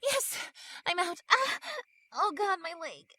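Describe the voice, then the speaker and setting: Audio drama role: woman as hurt, haughty teen mean girl, high animated voice, biting and demanding. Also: takes it slowly, full character voice. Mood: demanding, pained. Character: injured, haughty, sarcastic teenage mean girl.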